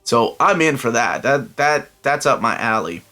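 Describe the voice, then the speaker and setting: A man's voice: medium pitch, animated, unhurried, pushed and bright. A middle-aged man from Peru hosting a casual solo video, chatty and enthusiastic, wandering into asides full of fillers. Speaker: comic book collector channel host. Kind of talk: casual solo review vlog.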